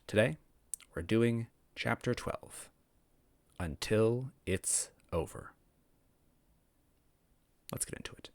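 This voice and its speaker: A man's voice: on the low side, slow pace, vocal fry, long pauses, a little mellow, no room sound. A man hosting a story read-aloud series, talking casually and narrating in the first person. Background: background music.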